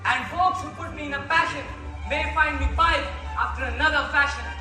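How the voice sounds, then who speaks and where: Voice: sits high, fairly quick, sharp tone. A young student playing a proud, threatening magical piper in a verse stage play, declaiming sternly. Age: teenager or younger student. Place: school stage in a large hall. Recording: very echoey hall from far off, faint music.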